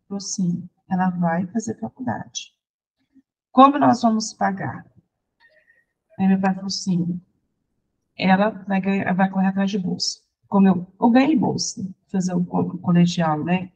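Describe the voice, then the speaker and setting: A Brazilian woman, a songwriter and teacher, recounting her life in a chatty, lively interview. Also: medium pitch, a little slow, breathy edge, rough recording. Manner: lively, chatty, restarts and laughs while recounting. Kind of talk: personal storytelling in conversation.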